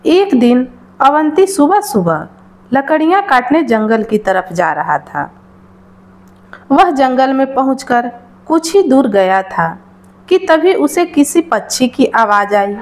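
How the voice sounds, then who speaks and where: Female storyteller for moral tales, high voice, very lively intonation, slow measured delivery. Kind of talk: scripted moral story narration in Hindi.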